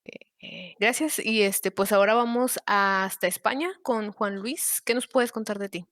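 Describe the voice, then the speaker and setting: A woman's voice: medium pitch, measured pace, a bit bright, no echo, clean studio sound. A woman with a Mexican accent hosting a panel podcast in a semi-formal, somewhat hesitant way with frequent filler words. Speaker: podcast host.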